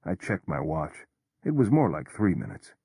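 A man narrating a story in the first person with a measured, understated delivery. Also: low-pitched, very animated, mellow, husky, no echo, clean studio sound.

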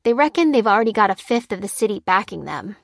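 A woman's voice: mid-range voice, very animated, quick pace, vocal fry, no echo, studio quality. A woman voicing a frank, probing female friend who talks casually and with opinions in an audiobook. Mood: matter-of-fact, impressed.

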